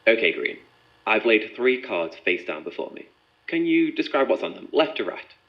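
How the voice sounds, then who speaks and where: A man's voice: lowish voice, sing-song, moderate pace. An actor playing a composed, methodical male researcher in an audio drama, speaking in a clinical, probing, precise way. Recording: muffled sound with music behind.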